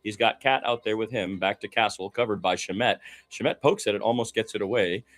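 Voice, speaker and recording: A man doing live radio play-by-play of a basketball game, informal and opinionated, swinging between low-key asides and excited calls. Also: a little fast. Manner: informal, opinionated, mildly profane.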